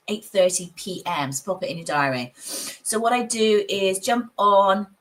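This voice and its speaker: A woman who is husky from a cold, chatting casually with viewers as a psychic livestream host in her home office. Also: on the low side. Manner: chatty, warm greetings, frequent little laughs.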